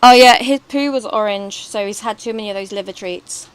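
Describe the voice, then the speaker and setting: A British woman with a high voice, streaming and chatting casually while gaming, rambling and swearing now and then. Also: fairly quick, clean tone. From Britain.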